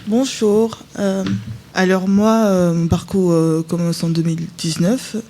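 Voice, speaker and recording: A young woman from Ivory Coast, a student guest on live radio, speaking in a measured, careful way. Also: fairly low pitch, level tone, mellow, a little hiss or hum. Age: young adult.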